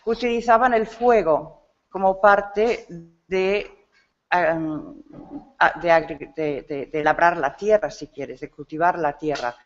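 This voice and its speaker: A slow, deliberate lecturer working through a slide talk in a conference hall. The speech halts often, with restarts and self-corrections while searching for words. The recording sounds dull and lo-fi. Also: medium pitch. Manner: searches for words in a rusty second-nature language. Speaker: symposium speaker.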